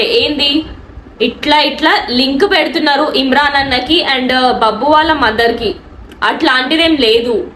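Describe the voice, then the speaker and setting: A woman with a Telangana Telugu accent argues her side in an insistent, defensive way, dropping in English words. Her voice is high and sharp. She is recorded in an echoey room as she addresses a critic directly. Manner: indignant, defensive, insistent rhetorical questioning; mixes English words into speech. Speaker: video creator and online anchor. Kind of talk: spoken response video addressed to a critic. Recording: echoey room with faint background noise.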